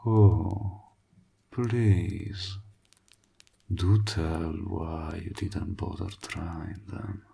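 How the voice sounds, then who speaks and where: Slow, breathy, gravelly evil warlock, acted by a man. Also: sits low, steady tone, mellow, heavily acted. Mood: mocking. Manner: slow, theatrical, taunting, often dropping into a whisper. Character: evil, mocking male warlock toying with his client. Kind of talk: scripted audio roleplay.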